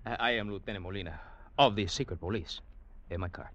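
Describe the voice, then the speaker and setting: An actor as sly Cuban schemer, low and courtly. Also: male voice, quick pace, background noise, natural voice. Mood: smooth, obsequious. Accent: Cuban Spanish. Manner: unctuous, florid, hesitant politeness with chuckles. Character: sly, courtly, talkative Cuban schemer, a small-time rogue. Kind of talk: 1940s-50s radio drama acting and first-person narration. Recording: muffled old radio broadcast.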